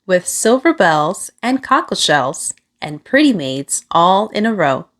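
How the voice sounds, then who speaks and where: A woman reading a children's picture book aloud, with expressive, deliberate phrasing aimed at young listeners. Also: fairly low pitch. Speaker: children's storybook reader.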